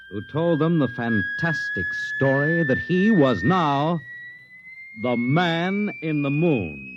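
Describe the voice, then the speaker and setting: An American man announcing a 1950s radio show in a theatrical style with deliberate dramatic pauses. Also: on the high side, very noisy. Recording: dull 1950s radio recording with music.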